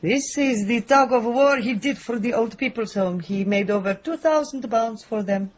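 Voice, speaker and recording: A woman's voice: fairly high pitch, moderate pace, muffled recording, lightly acted. A woman playing a doting but secretive village woman, emphatic and motherly, in a radio drama. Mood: fond, wistful.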